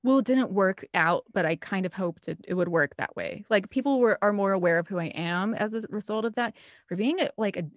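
A woman's voice: medium pitch, brisk, dry recording. A woman in her thirties with a Midwestern American accent, chatting casually as an interview guest and telling personal stories in a rambling, colloquial way. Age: 30s. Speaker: podcast guest, film composer and director.

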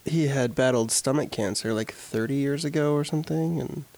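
A man's voice: medium pitch, darkish tone, no echo. A young man co-hosting a casual movie podcast, chatting and offering film history trivia. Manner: informative, quick with film trivia. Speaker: podcast co-host.

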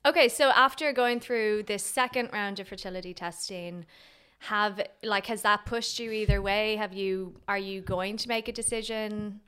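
A woman's voice: medium pitch, fairly even intonation, moderate pace, a bit bright, clear, resonant tone. A woman co-hosting a casual chat, listening supportively and laughing easily.

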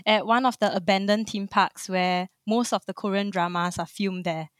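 A woman's voice: mid-range voice, steady tone, normal speed, no echo, clean studio sound. A young woman from Singapore with a Singaporean English accent, chatting cheerfully as a podcast guest and laughing often. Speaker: guest, Amazon account manager. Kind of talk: casual interview chat.

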